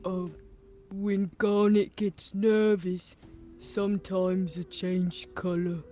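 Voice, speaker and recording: Rock creature, played by a woman: literal, deliberate, slow-paced and mellow, easily flustered. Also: medium pitch, level tone, soft background music, close to an everyday voice. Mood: blunt, flustered. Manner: deliberate, blunt, speaks in third person. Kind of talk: improvised tabletop roleplay.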